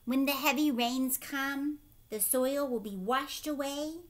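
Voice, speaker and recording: A woman voicing a troop of monkeys who urgently warn a man, loud and pleading. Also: high voice, takes it slowly, voice pushed up.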